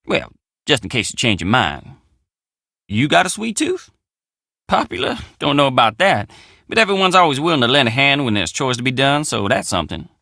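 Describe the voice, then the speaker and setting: A man's voice: medium pitch, big pitch swings, moderate pace, creaky, bright, forceful tone, no room sound, studio quality, heavily acted. An actor playing a friendly, plainspoken young country handyman with an American drawl in a video game. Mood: easygoing, matter-of-fact. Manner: folksy, plainspoken country phrasing. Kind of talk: video game character dialogue.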